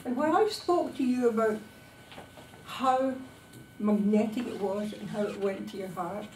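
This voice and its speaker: A woman giving a prepared descriptive travel speech to a club, speaking dramatically and emphatically in short phrases with pauses. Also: mid-range voice, darkish tone. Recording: distant, echoey room recording with background noise.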